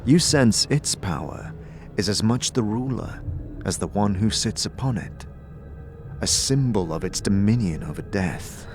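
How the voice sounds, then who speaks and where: A man narrating an audio drama in the second person in a measured, dramatic storytelling manner. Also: lowish voice, lively intonation, music in the background.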